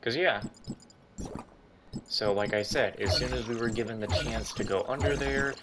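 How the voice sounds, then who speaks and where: A man's voice: medium pitch, normal speed, very noisy. A man giving casual, rambling gaming commentary, reacting aloud as he plays. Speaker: gaming YouTuber. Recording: loud game music behind commentary.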